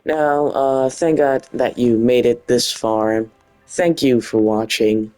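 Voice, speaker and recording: A man's voice: mid-range voice, slow, a little mellow, soft background music, low-quality recording. A man with a Dutch accent giving casual gaming commentary in short, informal, emphatic phrases. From Netherlands. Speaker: gaming video host.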